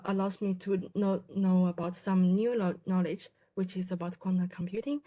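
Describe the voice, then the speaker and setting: A woman's voice: on the low side, dark tone. Hesitant young woman. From China. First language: Chinese. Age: 20s. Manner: hesitant, many fillers and restarts. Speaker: graduate student presenter. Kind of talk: conference self-introduction talk.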